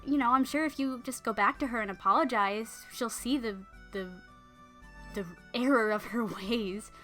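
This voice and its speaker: A woman's voice: on the high side, normal speed, sharp tone, soft background music. Woman voicing a frank, kindly noble lady. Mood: reassuring, frank. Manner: chatty, frank, informal. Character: forthright, kindly noble lady, curious and grateful. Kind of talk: casual modernized storytelling dialogue.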